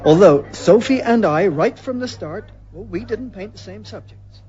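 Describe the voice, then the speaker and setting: A man playing a patient, quietly resentful suburban husband, speaking and narrating hesitantly in a folksy radio drama style. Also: high-pitched, expressive, normal speed, crowd noise. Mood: wry, resentful. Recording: muffled radio drama recording.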